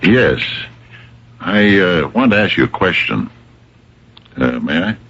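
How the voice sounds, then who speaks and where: A man playing a patient, shrewd police captain in an old-time radio drama, very deep and husky, speaking hesitantly and courteously but with quiet insistence. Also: big pitch swings, takes it slowly, a little hiss or hum, full character voice. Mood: gently probing. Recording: dull, muffled vintage radio recording.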